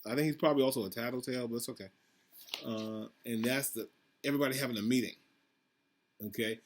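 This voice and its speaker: A man's voice: medium pitch, fast talker, a little hiss or hum. A man, a school principal, reading a picture book aloud to children, with asides and questions put straight to them. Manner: addresses children directly, asks questions, repeats himself.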